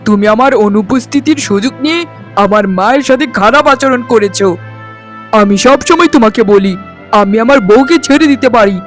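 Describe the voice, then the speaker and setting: A high-voiced young husband and devoted son from a cartoon melodrama acted by a man. He speaks emphatically and is quick to scold, with faint music underneath.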